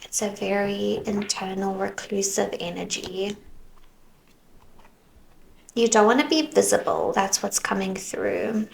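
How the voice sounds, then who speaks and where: A woman giving a tarot reading, musing softly as she goes and sometimes slipping into a whisper, her voice worn as though she is losing it. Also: medium pitch, fairly even intonation, creaky, slight background noise, poor audio. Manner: slow, soft, musing, drifts into whispers. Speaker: tarot reader.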